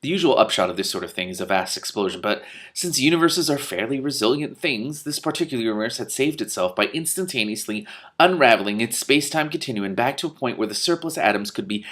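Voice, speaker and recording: A man reads a comic fantasy novel aloud in a middle-pitched voice. He trips over words and restarts lines, then bursts out in loud, disbelieving swearing before going back to the text. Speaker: reader of a novel with commentary. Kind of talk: reading a novel aloud with reactions.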